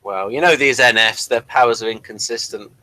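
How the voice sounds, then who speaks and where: A man's voice: medium pitch, a little fast, bright, forceful tone, no echo, rough recording. Man reviewing in a casual, stumbling way. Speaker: review show co-host. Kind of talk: casual review chat, reading out an episode synopsis.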